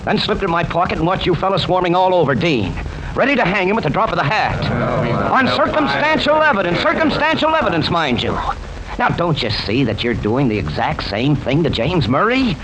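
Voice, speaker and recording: An actor playing a stubborn, impassioned juror in an old radio mystery, arguing emphatically and theatrically. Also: male voice, high-pitched, big pitch swings, brisk. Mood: triumphant, insistent. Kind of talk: old-time radio mystery drama acting. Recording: noisy vintage radio broadcast.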